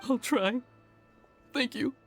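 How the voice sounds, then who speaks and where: A lovelorn, resigned young man from a video game talks very slowly and haltingly. He sounds downcast, with soft music behind him. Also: very high voice. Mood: dejected, resigned.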